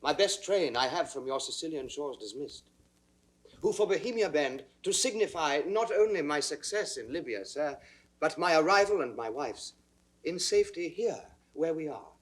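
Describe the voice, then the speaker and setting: An actor playing a young prince, courteous and earnest, declaiming Shakespearean verse formally. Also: male voice, on the high side, lively intonation, moderate pace, lightly acted. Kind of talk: classical Shakespearean stage acting.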